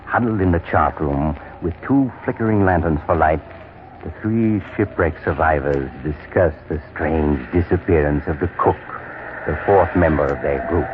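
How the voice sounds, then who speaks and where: An actor playing a sinister, cold-blooded doctor who narrates horror tales in an ominous, deliberate 1940s radio style. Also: male voice, a bass voice, background noise. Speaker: horror show host. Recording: tinny vintage radio, narrow band.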